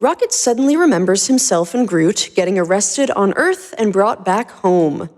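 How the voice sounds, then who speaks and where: Lively woman narrating a story. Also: mid-range voice, normal speed.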